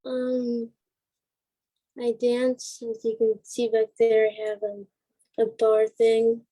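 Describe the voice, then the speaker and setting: A woman's voice: on the high side, monotone, slow, mellow, no room sound, low-quality recording. A woman being interviewed as a performer, chatting casually with brief, hesitant answers. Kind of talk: casual chat.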